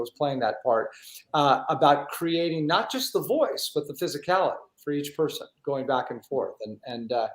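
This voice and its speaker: A man hosting a conversational interview in an effusive way, often repeating his phrases as he goes. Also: on the high side. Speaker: host. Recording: echoey room sound.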